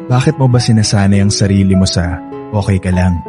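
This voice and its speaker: A man's voice: sits low, a little fast, close to an everyday voice. A man playing a gallant, bashful young suitor in a Filipino radio romance, with a Filipino accent and an earnest, teasing manner. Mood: caring, earnest. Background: background music.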